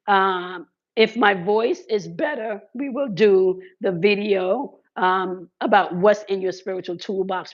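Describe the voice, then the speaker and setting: Hoarse woman giving a spiritual pep talk. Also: medium pitch. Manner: repeats "okay" and "right" as check-ins, uses fillers. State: hoarse, voice crackling, congested sinuses. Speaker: spiritual content creator. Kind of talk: casual spiritual talk to viewers. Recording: slightly dull lo-fi sound.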